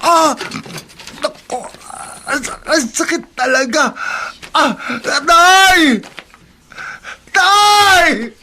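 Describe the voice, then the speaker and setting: A man's voice: extremely high pitch, extremely slow, pauses often, sharp tone, slight background noise, full character voice. An actor playing a young man who groans and cries out in stomach pain in a radio drama. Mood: pained groaning.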